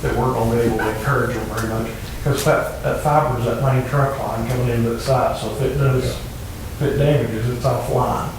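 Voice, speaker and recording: Middle aged east tennessee guy reporting at a meeting, halting, pretty monotone, super echoey room. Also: medium pitch. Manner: halting, matter-of-fact. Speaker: county emergency services official. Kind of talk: informal report at a public meeting. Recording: very echoey, noisy room, distant microphone.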